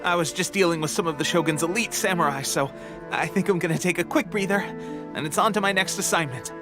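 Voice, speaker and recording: A man voices a young resistance soldier in a game, brave and earnest though unwell. His voice is fairly high for a man, and music plays clearly behind him. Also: fast, close to an everyday voice. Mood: brisk, concerned. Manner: earnest, breaking off to cough. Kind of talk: video game voice acting.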